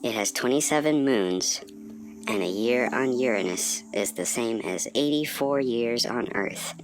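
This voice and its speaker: A quirky little cartoon astronaut , as a woman voices it, tours the planets like a student on vacation. The voice sits remarkably deep and takes its time, breaking into sudden high, squeaky exclamations. Music plays clearly underneath. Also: animated, measured pace. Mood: cheerful. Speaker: guide narrator. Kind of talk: animated educational cartoon.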